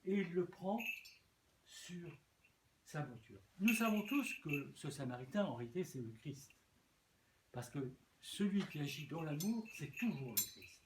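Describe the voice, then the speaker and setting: A man's voice: a little high, very animated, a little slow. A man preaching a sermon as a bishop, earnest, often repeating words and restarting. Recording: echoey room with faint noise.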